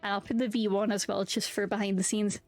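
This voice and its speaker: A woman's voice: mid-range voice, flat delivery, quick pace, darkish tone, dry recording, soft background music. A young woman streaming a game, chatting candidly and swearing freely, often restarting her sentences as she rambles. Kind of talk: casual chat while streaming a game.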